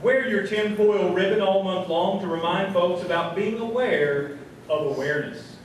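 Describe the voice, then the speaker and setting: A man's voice: high-pitched, moderate pace, natural voice. A man playing a folksy small-town host of a comedy radio show. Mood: folksy announcing. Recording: very echoey hall, distant and noisy.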